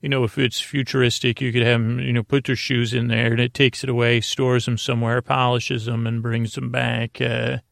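A man hosting a sleep podcast, rambling off the cuff in a halting, meandering way with stammers and repeated words. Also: medium pitch, flat delivery, a bit bright, no echo, studio quality. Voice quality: creaky, gravelly. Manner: deliberately slowed-down delivery. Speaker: podcast host.

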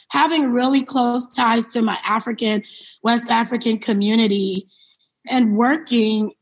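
A woman with a fairly high voice speaks as a guest in an interview. She is from New York City and tells her story in a reflective, explanatory way, leaning on key words. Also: low-quality recording. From born in Liberia, grew up in New York City. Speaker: interview guest, company founder and CEO. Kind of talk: conversational interview answers, personal storytelling.

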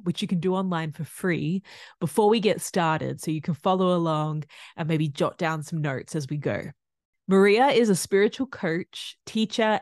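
A woman's voice: lowish voice, no echo, clean studio sound. A woman hosting a podcast, reading a scripted introduction and then chatting casually with her guest. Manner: warm scripted intro then relaxed chat with fillers. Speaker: podcast host. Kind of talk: casual interview chat.

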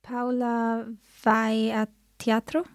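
A woman learning a language, answering translation drills slowly and tentatively. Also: on the high side, monotone, no echo, clean studio sound.

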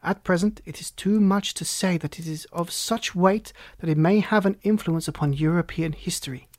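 A man's voice: high voice, normal speed, dry recording, lightly acted. A man voicing a proud Bohemian nobleman, formal and haughty, in an audiobook story. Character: proud, agitated Bohemian king, a huge masked nobleman. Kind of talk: audiobook character reading.

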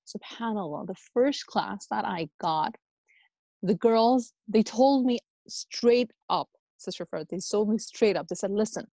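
A woman's voice: mid-range voice, a little slow, creaky, mellow, no room sound, poor audio. A woman from the United States speaking as an interview guest in an earnest, conversational way, emphatic and fond of anecdotes and rhetorical questions. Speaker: podcast guest, Islamic educator and youth workshop leader.

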